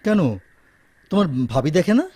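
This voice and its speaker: Playful, high-voiced young husband. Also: male voice, sing-song, moderate pace, a little mellow, dry recording. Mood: curious. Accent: Bangladeshi.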